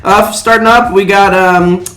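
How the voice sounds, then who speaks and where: A man co-hosting a casual movie news podcast, speaking haltingly and often trailing off. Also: high voice, fairly even intonation, sharp tone. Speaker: podcast co-host. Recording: echoey room with music bed.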